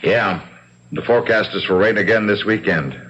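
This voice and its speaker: An actor playing a breezy, needling company boss in a 1970s radio drama, with a dry, brisk, teasing manner. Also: male voice, on the low side, fairly bright tone, lightly acted. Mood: brisk, busy. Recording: dull, faintly noisy 1970s radio broadcast.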